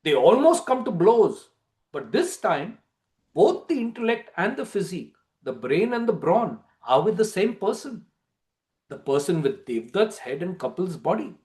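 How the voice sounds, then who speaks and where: A man narrating as a storyteller, giving a theatrical, expressive dramatic reading of a play. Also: high voice, normal speed.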